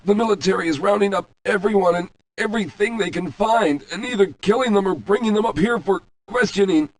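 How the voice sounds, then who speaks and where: A video game scientist acted by a man with a high-pitched voice. He is a wounded, bitter survivor who speaks in careful, grave sentences and sounds resigned. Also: a little fast, natural voice, poor audio.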